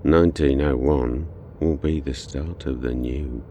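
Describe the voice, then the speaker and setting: Male actor as composed death-row killer, extremely deep, dark muted tone, deliberate, pedantic, horror radio play. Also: steady tone, slight background noise. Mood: coolly pedantic. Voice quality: gravelly. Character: eerie, composed boy murderer on death row. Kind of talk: audio horror drama acting.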